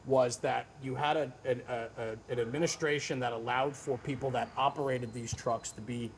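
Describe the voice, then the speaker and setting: A man's voice: mid-range voice, fairly even intonation, moderate pace, slight background noise. A man hosting a conversational interview, speaking emphatically and in listed phrases. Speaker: podcast host and interviewer.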